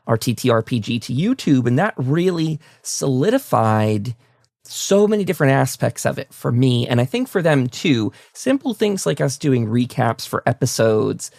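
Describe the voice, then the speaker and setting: Male talk show host, casual and effusive, wordy, creaky edge, close dry sound. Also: mid-range voice, sing-song, normal speed, a little mellow, dry recording. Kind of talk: casual enthusiastic interview chat.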